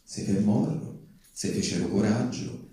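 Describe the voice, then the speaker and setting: A man's voice: fairly low pitch, lively intonation, moderate pace, warm tone. A man speaking and reading aloud to the public at a live event. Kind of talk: public reading and impassioned activist speech. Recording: echoey hall, recorded from far off.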